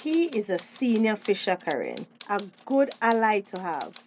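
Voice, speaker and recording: A woman's voice: mid-range voice, big pitch swings, normal speed, slight background noise, natural voice. A woman acting an outspoken, street-smart fisheries officer in a radio drama, telling things expressively in a Belizean Creole accent. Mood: animated, confiding.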